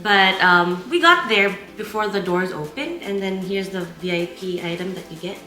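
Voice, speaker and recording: A woman's voice: mid-range voice, normal speed, pushed and bright, reverberant, soft background music. A tired woman vlogger talking casually about her outing. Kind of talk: casual vlog chat.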